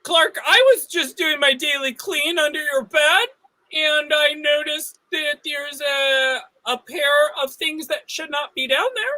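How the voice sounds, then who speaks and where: A woman putting on a fussy housewife, indignant and scolding. Her voice is very high and bright, in an improvised comedy style. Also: steady tone, measured pace, poor audio.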